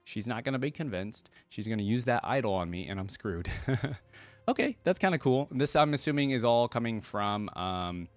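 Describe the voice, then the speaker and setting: A man hosting a reaction video, reading aloud and commenting casually, musing as he thinks out loud in a conversational way. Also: medium pitch, lively intonation, normal speed, dry recording, faint music behind.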